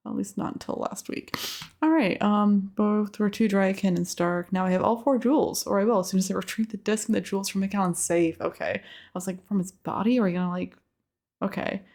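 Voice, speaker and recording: A woman's voice: medium pitch, steady tone, brisk, vocal fry, darkish tone. A woman giving chatty, casual gameplay commentary, muttering reactions to herself as she plays. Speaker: let's play host.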